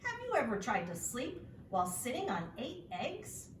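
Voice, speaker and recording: A woman's voice: on the high side, wildly swooping pitch, moderate pace, roomy sound, distant mic, slight background noise, pitched above the performer's own voice. A woman voicing a chatty, unbothered female goose in a storybook, breezy and matter-of-fact in manner. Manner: stutters by repeating word endings, breezy.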